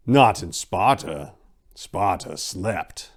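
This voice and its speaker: A man with a fairly low voice reads a scripted tale as a dramatic narrator. His delivery is theatrical and deliberate, swelling with emphasis on key phrases. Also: expressive. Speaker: podcast host and narrator.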